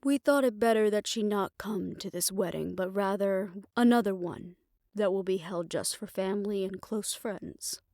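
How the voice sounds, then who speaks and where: A woman playing a tender, world-weary lover in a fantasy audio roleplay, speaking in a measured, reflective and intimate way. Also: medium pitch, no room sound, clean studio sound, close to an everyday voice. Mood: measured, resigned. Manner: measured, reflective, formal phrasing, soft hushed moments. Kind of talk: romantic audio roleplay, intimate scripted monologue.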